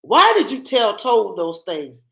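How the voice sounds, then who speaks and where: A woman's voice: medium pitch, sing-song, a little slow, creaky, bright, forceful tone, echoey room, full character voice. A woman voicing a cross female alligator who snaps and scolds in a storybook. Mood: scolding. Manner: snapping, scolding.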